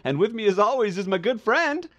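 A man's voice: high voice, sing-song, brisk, fairly bright tone, dull audio. A man hosting a podcast in a casual, chatty style, rambling as he goes. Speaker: podcast host.

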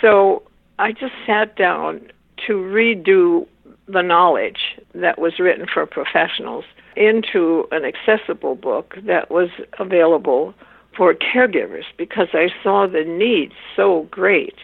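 Older woman, gravelly voice on a tinny line. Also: fairly low pitch, lively intonation, no echo. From Wisconsin, USA. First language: Swiss German. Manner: measured, thoughtful, searches for words. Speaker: interview guest, author and family therapist. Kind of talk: reflective interview answers.

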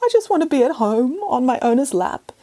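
A woman voicing a frightened cat stuck in a tree, sobbing and wailing in a high voice. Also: very animated, a little fast, no echo, heavily acted.

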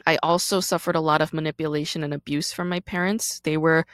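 Low-voiced woman podcast host, emphatic. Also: fairly even intonation, quick pace, fairly bright tone, no echo, clean studio sound. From South Korea, raised in Brooklyn. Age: 30s. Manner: candid and emphatic, often asking "right?". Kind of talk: personal monologue.

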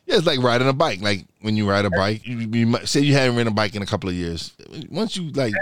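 A man's voice: on the low side, animated, fast, smooth, no echo, studio quality. A man from Brooklyn hosting a podcast, chatting casually, agreeable, laughing often and full of fillers. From Brooklyn, New York. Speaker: podcast host. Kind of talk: casual conversation.

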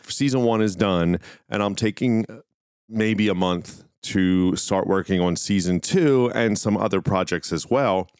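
A man's voice: on the low side, smooth, no echo, studio quality. A man hosting a solo podcast, talking casually and informally in a meandering way. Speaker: podcast host.

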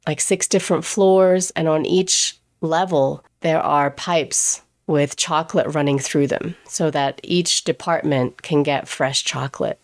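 Woman from England, pastry chef, chatty first-person storyteller who quotes others and laughs; smooth low voice. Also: a little mellow.

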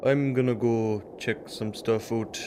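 A man voicing an uneasy, evasive local lawman in an audio drama, speaking haltingly. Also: medium pitch, fairly even intonation, noisy background, lightly acted. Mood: awkward, evasive.

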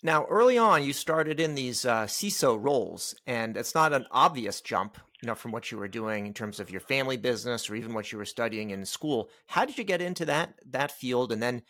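Bright-voiced male podcast host. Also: mid-range voice, animated, brisk, few pauses, dry recording. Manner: dry joking asides. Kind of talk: interview podcast, scripted intro then casual questions.

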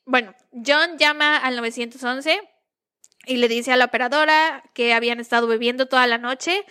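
A young Mexican woman recounting a true-crime case in a relaxed, slangy podcast chat. Her voice sits a little high and sounds crisp and bright. She laughs easily between sentences. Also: normal speed. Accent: Mexican Spanish. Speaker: podcast co-host. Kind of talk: casual true-crime storytelling.

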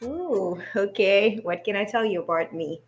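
A woman's voice: mid-range voice, animated, soft background music. Hesitant woman with easy laughs. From Haiti. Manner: hesitant, many ums, quick to laugh. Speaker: podcast guest, business coach. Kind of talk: casual podcast interview.

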